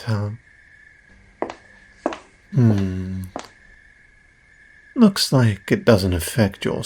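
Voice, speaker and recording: A man's voice: on the low side, slightly rough, exaggerated voice. A man playing a cocky, condescending, powerful demon who makes deals, performed as a theatrical audio roleplay spoken straight to the listener. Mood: smug, dismissive.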